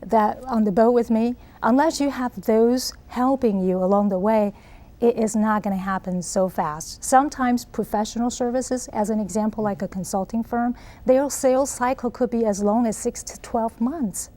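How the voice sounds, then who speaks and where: A woman's voice: fairly high pitch, fairly even intonation, normal speed, warm tone. A middle-aged woman from Taiwan with a Taiwanese accent, earnestly explaining her ideas as an interview guest. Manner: earnest, explanatory, frequent laughs. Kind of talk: interview answers.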